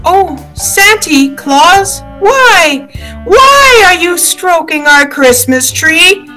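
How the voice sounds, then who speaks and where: A woman's voice: very high-pitched, very animated, very slow, pushed and bright, faint music behind, heavily acted, low-quality recording. A woman voicing a tiny, innocent little girl creature in a storybook. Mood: plaintive, puzzled.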